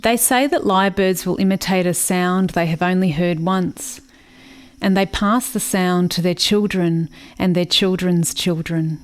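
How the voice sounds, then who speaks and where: An actress in a radio play playing a grieving, watchful country sister, narrating in a reflective, measured way. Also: female voice, fairly low pitch, natural voice. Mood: wistful.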